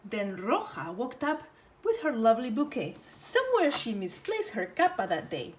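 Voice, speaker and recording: A woman storyteller reading a children's picture book aloud in an animated, theatrical way. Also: a little high, normal speed, slight background noise, rough recording.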